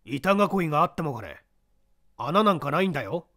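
A man voicing a composed older gentleman who explains patiently and a little condescendingly in a mystery reading. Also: a little high, big pitch swings, brisk, no echo, clean studio sound, heavily acted. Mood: surprised.

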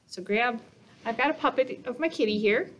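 A woman in her thirties reading a picture book aloud for children as a storytime host, expressive and deliberate. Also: a little high, lo-fi sound, faint music behind. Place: small indoor reading corner.

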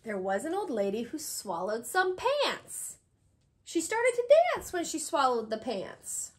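A woman around her thirties reading a children's picture book aloud, expressive and sing-song, with drawn-out pauses for young listeners. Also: high-pitched. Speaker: read-aloud host, tutor. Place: small indoor room with bookshelves.

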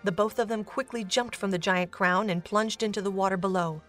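A woman's voice: medium pitch, steady tone, brisk, fairly bright tone. A woman narrating a scripted dramatic story as the narrator of an audio drama. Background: music.

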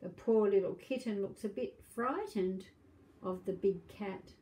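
A woman's voice: medium pitch, measured pace, dark tone, rough recording. A middle-aged woman reading aloud to young children as a storytime host, in a simple, questioning, child-directed manner. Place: small domestic room indoors.

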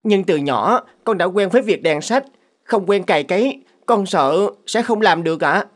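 A woman voicing a respectful, earnest young son in an animated folk tale. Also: medium pitch, moderate pace, natural voice. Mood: polite, worried.

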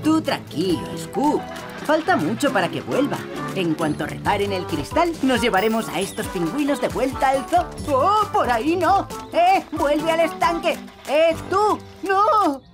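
A woman's voice: high-pitched, big pitch swings, a little slow, over music. A woman voicing an earnest young male builder apprentice in a cartoon, youthful and exclamatory, with a Castilian Spanish accent. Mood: reassuring, then alarmed. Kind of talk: animated cartoon dubbing.

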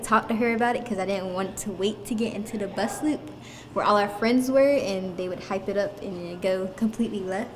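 Teen girl student telling a story super informally, medium pitch, bit of hallway noise. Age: late teens. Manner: informal, frequent "like". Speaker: student being interviewed. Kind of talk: casual recounting. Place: school hallway indoors. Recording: faint room ambience noise.

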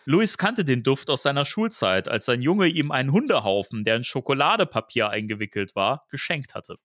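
A man's voice: mid-range voice, very animated, moderate pace, a bit bright, dry recording, clean studio sound. A man hosting a podcast, reading a novel aloud and breaking off with giggly asides. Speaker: podcast host.

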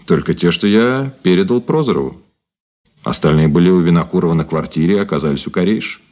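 This is a deep-voiced man in a Soviet radio play, a cynical ex-detective. He speaks in measured, theatrical phrases with grand irony and mockery. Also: lively intonation, darkish tone. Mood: grave, measured. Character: cynical, ironic former detective officer and turncoat, grandly mocking. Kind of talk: Soviet radio drama acting.

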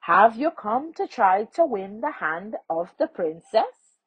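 A woman's voice: mid-range voice, very animated, slow, husky, no room sound, muffled recording, full character voice. A woman voicing a chatty male stranger in a children's story, explaining things with emphasis. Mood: friendly, explaining.

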